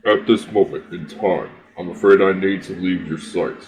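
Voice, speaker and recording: A man's voice: deep voice, steady tone, normal speed, a crowd in the background, close to an everyday voice. A man acting as a cryptic, solemn prophetic stranger in a theatrical game monologue, speaking portentously. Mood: solemn, ominous.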